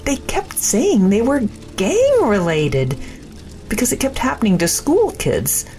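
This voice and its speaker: A woman's voice: fairly high pitch, wildly swooping pitch, measured pace, a little mellow, full character voice. A woman acting in an audio drama as a shaken, grateful neighbourhood woman rescued from a fire. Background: faint background music.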